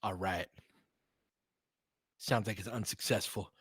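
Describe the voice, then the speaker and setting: A man doing live basketball play-by-play as an excitable, partisan fan, chatting casually with his viewers. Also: on the low side, takes it slowly, slightly rough, no room sound, studio quality. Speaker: fan play-by-play commentator, streamer.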